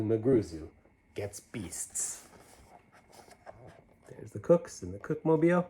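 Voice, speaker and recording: A man's voice: mid-range voice, warm tone. A man reading a rhyming children's storybook aloud in a playful, theatrical way, dropping in conversational asides to listeners. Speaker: storybook reader.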